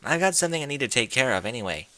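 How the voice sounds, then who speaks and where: A man's voice: medium pitch, very animated, very fast, bright, forceful tone, no echo. A man voicing a brash, cocky young female pegasus pony in a story, talking in a blunt, slangy way. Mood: casual. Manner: blunt, slangy, casual delivery.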